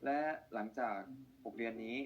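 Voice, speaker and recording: Casting: man with a very quick, eager delivery, muffled clip audio. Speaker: actor in a series clip. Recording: dull lo-fi clip with music.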